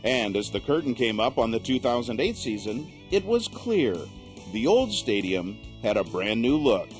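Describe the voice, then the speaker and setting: A man's voice: medium pitch, big pitch swings, moderate pace. A man narrating a scripted sports feature in a dramatic, sweeping way. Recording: voice-over with music.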